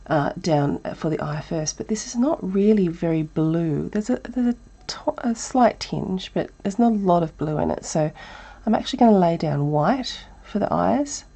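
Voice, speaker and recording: A woman with an Australian accent, teaching a relaxed live drawing lesson while thinking aloud, her voice croaky and breathy from a chest cold. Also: on the low side, normal speed, hardly any pauses. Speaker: art teacher.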